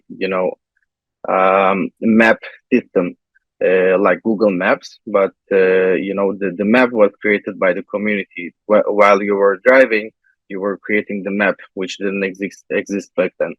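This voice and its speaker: A man from Turkey answering interview questions in a halting way, with many pauses and fillers, over a video call. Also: low-pitched, flat delivery, no echo. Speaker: interview guest, software engineer. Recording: tinny phone-like line, dry.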